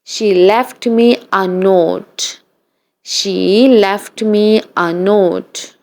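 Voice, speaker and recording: A woman reading short practice sentences aloud for learners, in an even, deliberate read-aloud style that says each sentence twice. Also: medium pitch. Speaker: English practice reader.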